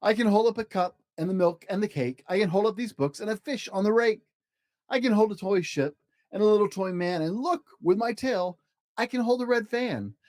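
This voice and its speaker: A man's voice: high-pitched, normal speed, a little mellow, dry recording, studio quality, pitched above the performer's own voice, natural voice. A man voicing a playful, boastful trickster cat in a children's storybook, showy and breezy in sing-song rhyme. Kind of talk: children's storybook read-aloud.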